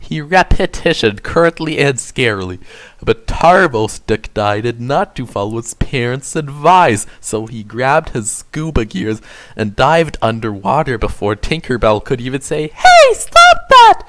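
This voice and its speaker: A man's voice: mid-range voice, expressive, a little slow. A man narrating an amateur dramatic reading of fan fiction, delivering overwrought prose in a mock-serious way.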